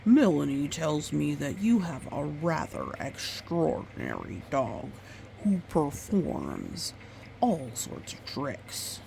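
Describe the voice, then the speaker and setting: A woman voicing a polite old grandfather in a children's storybook, speaking in a measured, formal way. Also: sits low, big pitch swings, gravelly, warm tone, a little hiss or hum, full character voice. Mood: polite, curious. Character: polite old grandfather, pet shop customer.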